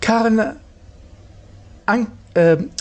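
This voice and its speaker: A woman's voice: fairly high pitch, extremely slow. A German woman playing a game and reading its dialogue aloud in character.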